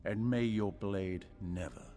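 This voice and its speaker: Slow, low-voiced solemn assassin leader. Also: male voice, warm tone, soft background music. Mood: solemn, ceremonial. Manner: grave, deliberate, ritualistic delivery. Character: solemn male leader of an assassin brotherhood, ceremonial and commanding. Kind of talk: fantasy video game voice acting.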